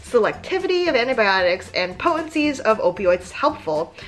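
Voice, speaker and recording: A woman's voice: on the high side, big pitch swings, fairly quick, soft background music, poor audio. A woman in her twenties hosting an advice vlog, chatty and slangy, with a self-mocking streak. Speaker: vlog host giving application advice. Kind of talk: casual advice vlog with read-aloud passages.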